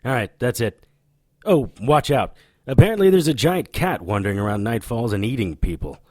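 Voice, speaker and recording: An actor playing a cocky, sardonic occult investigator in an audio drama, with a wry, taunting delivery. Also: male voice, medium pitch, animated, normal speed, a little mellow, dry recording, studio quality, lightly acted. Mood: wry, businesslike. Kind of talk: audio drama acting with noir-style narration.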